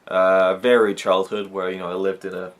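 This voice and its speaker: Brief: young man from Wales, deep voice, rambling chatty vlogger. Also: flat delivery, moderate pace. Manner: rambling, chatty, many fillers. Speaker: YouTube reviewer. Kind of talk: casual chat to camera.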